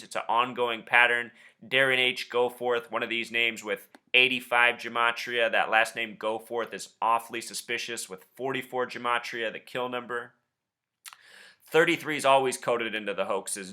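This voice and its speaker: A man's voice: mid-range voice, sharp tone. A man with an insistent, suspicious manner, speaking as an online host and caller in conspiracy commentary. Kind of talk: conspiracy commentary and confrontational phone questioning.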